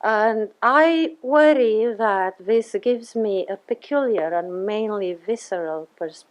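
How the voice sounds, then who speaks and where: A woman's voice: fairly high pitch, slow pace. A woman, a theater scholar presenting a paper in a careful, formal way with frequent hesitations. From Romania. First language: Romanian. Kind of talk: conference talk.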